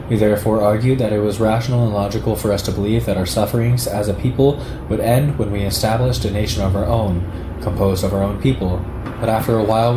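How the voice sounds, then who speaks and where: Low male narrator, measured political lecture read from text, roomy sound with noise behind. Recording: echoey room with clear background noise.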